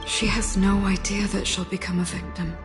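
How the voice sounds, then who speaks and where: An actress playing an earnest, thoughtful female video game protagonist, pondering a mystery in a deliberate way. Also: medium pitch, flat delivery, music in the background, lightly acted. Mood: puzzled.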